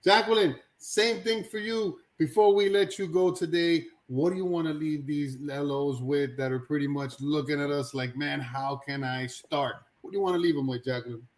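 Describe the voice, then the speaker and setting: A man hosting a live stream with energetic, hype-style shout-outs, bringing guests in and out. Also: on the high side, moderate pace, few pauses. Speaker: host.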